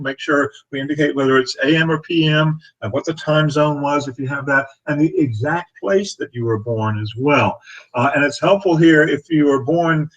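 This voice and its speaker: A man in his sixties teaching astrology in a home-study webinar, talking haltingly with many fillers and restarts and little chuckles, recorded on a desk webcam. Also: on the high side, a bit bright, poor audio. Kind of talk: casual live webinar talk. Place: home study lined with bookshelves.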